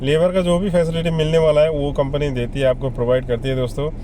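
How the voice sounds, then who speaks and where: Mellow man explaining to viewers. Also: a little high, flat delivery, fast talker, slight background noise. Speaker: vlogger giving job advice. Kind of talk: casual explainer addressed to viewers.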